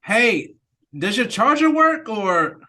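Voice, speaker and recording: A woman's voice: fairly high pitch, big pitch swings, slow, sharp tone, voice pushed up, heavily acted. A woman playing a confused, nervous man in an audio play read-through recorded on a video call.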